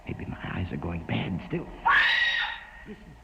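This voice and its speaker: An actor playing an anxious, devout companion, weary and plaintive, in a 1950s radio drama. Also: male voice, deep voice, sing-song, unhurried, gravelly, raised voice, full character voice. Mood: nervous. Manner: nervous, plaintive. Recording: muffled noisy vintage radio, reverberant.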